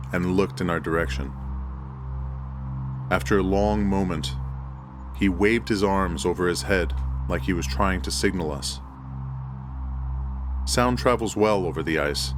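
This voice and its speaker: A man reading a first-person horror story in a measured, deliberate storytelling manner. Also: low voice. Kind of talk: first-person scripted narration. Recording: music bed under the voice. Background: music.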